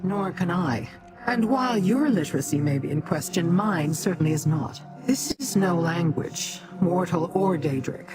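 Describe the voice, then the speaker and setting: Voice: mid-range voice, natural voice. Haughty, imperious Daedra, voiced by a game actor, over music. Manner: condescending, formal, measured. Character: haughty, imperious Daedra ally, scornful of mortals. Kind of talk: scripted video game dialogue. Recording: music behind the dialogue.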